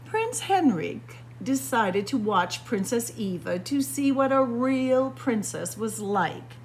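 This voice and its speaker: Woman storytime reader, unhurried, lively highish pitch, expressive, faint background noise. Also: rough recording. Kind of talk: expressive children's picture book read-aloud. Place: indoors, room with library bookshelves.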